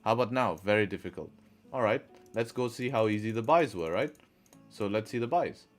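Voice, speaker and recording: Male streamer, mixed partly Arabic-sounding accent, low voice, drills points with rhetorical questions, faint music bed. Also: expressive, brisk. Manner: rhetorical, repeats questions and answers to drive a point. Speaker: trading livestream host. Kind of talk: live-stream chat and chart commentary.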